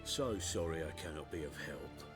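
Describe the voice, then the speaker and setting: An actor playing a cold, smugly pompous middle-aged witch-hunter commander in a video game, speaking formally with sardonic, measured phrasing. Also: a bass voice, lively intonation, music in the background. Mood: smug, menacing.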